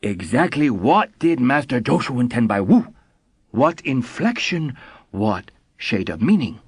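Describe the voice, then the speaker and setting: A man's voice: mid-range voice, very animated, a little slow, breathy edge, dry recording, studio quality, full character voice. A man voicing an older scholar who lectures in broken English. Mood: lecturing. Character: shy, smiling older male Japanese Zen scholar. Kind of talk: audiobook character voice.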